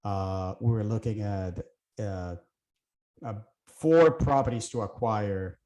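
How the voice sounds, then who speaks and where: Relaxed middle-aged man from Puerto Rico. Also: fairly low pitch, slow pace, roomy sound. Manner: relaxed, thinking aloud with many fillers and restarts. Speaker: guest, real estate investor. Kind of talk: casual interview chat.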